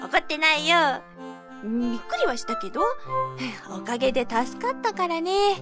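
A woman playing an easygoing, gently teasing young male colleague in a radio drama, with a soft, casual manner. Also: high-pitched, sing-song, moderate pace. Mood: warm, reassuring. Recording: music under the voice.

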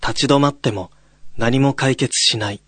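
A man's voice: medium pitch, moderate pace, fairly bright tone, husky, no echo. A man playing a young male college student who tells a ghost story in a radio drama. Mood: somber, reflective. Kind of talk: radio drama narration with acted dialogue.

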